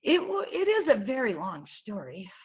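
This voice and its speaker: A woman's voice: fairly high pitch, wildly swooping pitch, normal speed, husky, phone-quality sound, exaggerated voice. A woman voicing a tiny, easily startled old lady librarian in a children's storybook, with a flustered, proper manner. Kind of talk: children's storybook read-aloud.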